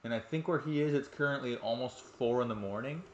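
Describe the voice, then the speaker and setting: A man's voice: mid-range voice, brisk, dull audio, slight background noise. Young male streamer, rambling and self-mocking, roomy echoey sound, freely swearing between fights. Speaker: game streamer. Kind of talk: casual live-stream commentary. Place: home room at night.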